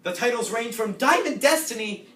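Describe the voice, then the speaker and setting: Guy narrating a comedy stage reading, kinda high voice, echoey room with background noise. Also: lively intonation, normal speed, bright, forceful tone. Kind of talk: comedic readers' theatre narration. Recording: echoey, noisy room from far off.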